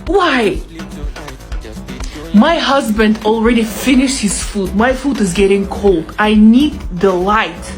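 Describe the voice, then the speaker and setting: A woman vlogging in an exasperated, drawn-out, whiny way. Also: mid-range voice, faint music behind. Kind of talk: complaining vlog.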